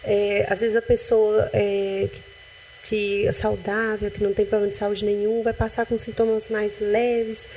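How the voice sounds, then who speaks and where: A woman doctor with a Brazilian accent, calmly explaining health matters in a TV interview, often restarting her sentences. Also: mid-range voice, unhurried, a little hiss or hum, rough recording. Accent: Brazilian Portuguese. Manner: calm, explanatory, with frequent restarts and "né". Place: TV studio.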